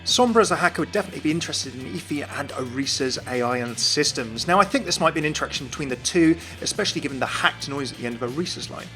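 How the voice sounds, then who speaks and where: A man's voice: a little high, animated, racing, few pauses, pushed and bright. A man giving a scripted commentary on video game lore. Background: faint music.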